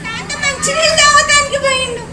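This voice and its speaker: A woman's voice: very high-pitched, steady tone, fairly quick, a loud crowd. A woman voicing a cheeky, chatty little kid puppet in a live puppet show, teasing and sing-song. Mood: playful. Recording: echoey, distant, noisy hall.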